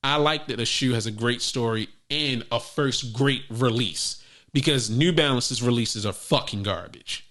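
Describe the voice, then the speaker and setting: A bright, forward-sounding man co-hosting a casual podcast. His talk is profane and animated, and he jumps in loudly whenever he is joking. His voice sits at an ordinary pitch. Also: measured pace. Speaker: podcast co-host. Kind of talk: casual chat.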